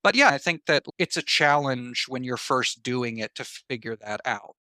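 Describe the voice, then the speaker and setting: A man's voice: medium pitch, animated, normal speed, pushed and bright, no room sound, clean studio sound. A man answering interview questions in a conversational, reflective way, explaining his points through examples and comparisons. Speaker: interview guest, writer.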